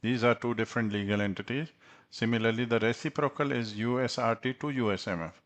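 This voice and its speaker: A man presenting a software tutorial as an instructor, speaking haltingly with frequent hesitations. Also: medium pitch. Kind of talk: tutorial presentation over slides.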